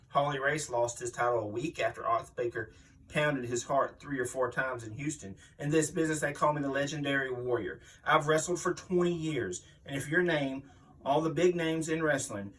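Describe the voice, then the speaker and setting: A man's voice: mid-range voice, fairly bright tone, recorded from a distance, close to an everyday voice, low-quality recording. A man playing a boastful, mean veteran wrestling villain, blustering and aggrieved as if giving an interview. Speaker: reader voicing an interviewed wrestler. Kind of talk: dramatic magazine interview reading, wrestling promo.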